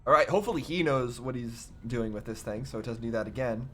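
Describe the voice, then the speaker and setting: A man's voice: mid-range voice, fast talker, bright, forceful tone. A man giving casual, running reaction commentary on video game cutscenes.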